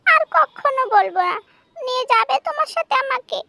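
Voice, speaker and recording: A performer voicing a naive, stubborn little goat kid in a children's cartoon, squeaky and childlike, with a wheedling manner. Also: lively intonation, moderate pace, creaky, sharp tone, exaggerated voice. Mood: pleading. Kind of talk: children's animated story dialogue.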